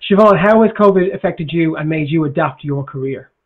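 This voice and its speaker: A man's voice: on the high side, a little fast. A man hosting a panel, who restarts his questions partway through while moderating the discussion. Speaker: host. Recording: tinny phone-like audio.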